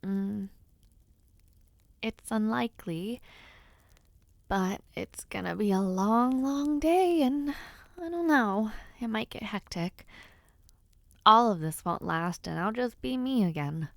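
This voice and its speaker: A young noblewoman, played by a woman in an intimate audio roleplay, talks slowly and playfully in a close, dry recording. She is teasing yet bashful, pausing hesitantly, with a creaky fry in her voice. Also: mid-range voice, no room sound. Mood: wistful. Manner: playful, hesitant, with pauses and sighs. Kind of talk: intimate audio roleplay, one-sided conversation.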